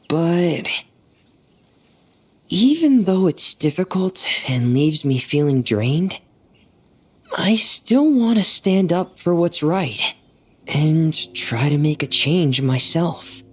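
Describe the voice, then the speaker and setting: A woman playing a shy, gentle, earnest young diver in an anime game, speaking softly and hesitantly. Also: lowish voice, lively intonation, takes it slowly, slightly rough, full character voice. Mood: earnest, wistful. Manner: hesitant, soft-spoken, earnest.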